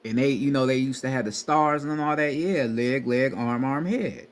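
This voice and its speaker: A man's voice: medium pitch, moderate pace. A young man giving a casual, profane lecture on spiritual ideas, talking emphatically and repeating himself. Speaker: spiritual teacher. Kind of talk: casual street-style lecture.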